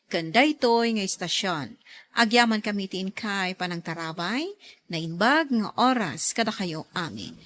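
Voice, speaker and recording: A woman hosting a radio drama, announcing from a script in a deliberate, emphatic, dramatic way. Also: medium pitch, lively intonation, fairly bright tone.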